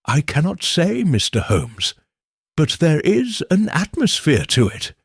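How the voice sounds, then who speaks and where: A man voicing an anxious, formal gentleman client in a Victorian mystery audiobook. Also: on the high side, huge pitch swings, measured pace, creaky, slightly rough, no echo, studio quality, voice pushed up, heavily acted. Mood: uneasy. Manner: hesitant, uneasy, formal.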